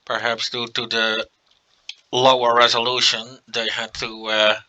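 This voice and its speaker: Bright-voiced Dutch-accented man commenting on gameplay. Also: medium pitch, a little slow. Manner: relaxed, thinking aloud with frequent uh fillers. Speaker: let's play commentator.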